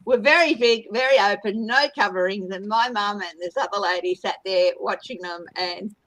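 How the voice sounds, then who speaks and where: A woman's voice: mid-range voice, moderate pace, a bit bright, no echo, low-quality recording. An Australian woman in her fifties, a tarot reader chatting in a group video call, telling stories and laughing often. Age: 50s. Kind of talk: casual group video chat.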